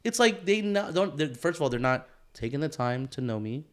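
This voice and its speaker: A man's voice: a little high, lively intonation, brisk. A man co-hosting a podcast in casual chat, reflective and rambling with lots of restarts. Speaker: podcast co-host.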